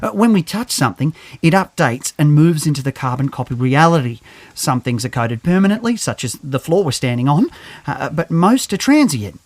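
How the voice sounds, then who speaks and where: High-voiced nerdy scientist. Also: male voice, sing-song, moderate pace. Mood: hesitant, thoughtful. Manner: stammering, rambling explanations. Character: nerdy, hesitant male scientist with boyish glee. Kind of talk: audio drama acting.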